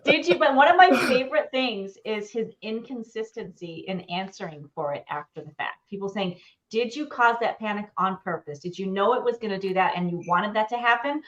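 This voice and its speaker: A woman's voice: mid-range voice, a little fast, fairly bright tone, highs cut off. A middle-aged woman chatting casually as a panelist on an online show, from a room at home on a video call. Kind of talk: casual chat.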